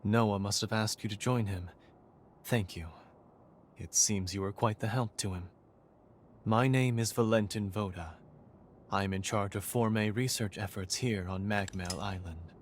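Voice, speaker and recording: An actor playing a courteous, unflappable male researcher in a video game, speaking in a polite, measured, genteel manner. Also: on the low side, a little hiss or hum, lightly acted. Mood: polite, unruffled. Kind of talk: video game cutscene acting.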